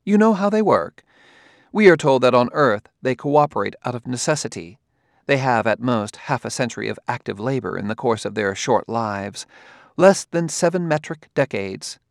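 A man's voice: mid-range voice, animated, moderate pace, creaky, no echo, studio quality. A man voicing a haughty, condescending woman scientist in an audiobook, speaking precisely as if lecturing. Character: haughty, cool woman scientist of a spacefaring world.

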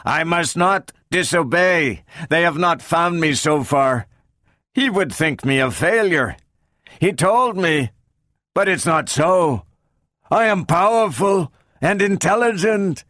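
Male narrator as rogue robot, harsh, raised pitch, halting spurts, proud, tormented, menacing, audiobook drama. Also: animated, fairly bright tone, no room sound, clean studio sound. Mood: strained, defiant. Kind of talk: dramatic audiobook character reading.

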